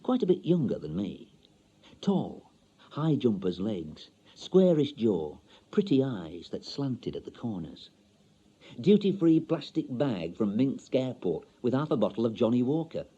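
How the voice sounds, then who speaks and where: An actor playing a chatty, wistful older Yorkshire bachelor in a radio monologue, colloquial, confiding and droll. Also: male voice, medium pitch, big pitch swings, measured pace, mellow, slightly rough, muffled recording. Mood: wry, confiding. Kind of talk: dramatic monologue, radio short story.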